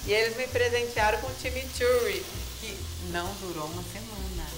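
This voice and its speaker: A woman's voice: mid-range voice, moderate pace. A woman, hosting a TV cooking show and chatting eagerly with her guests, often repeating what they say. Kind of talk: lively TV cooking chat. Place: TV studio kitchen set. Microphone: handheld microphone. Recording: roomy studio sound with music. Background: background music.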